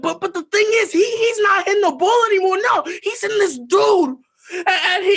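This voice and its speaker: A jittery teenage boy, all stammers and restarts, his words tumbling over each other, as a man acts him out. The voice sits high and bright, edgy and volatile from one phrase to the next. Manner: stammering, repeats words, filler-heavy. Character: nervous seventeen-year-old boy, rambling and volatile. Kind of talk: dramatic monologue.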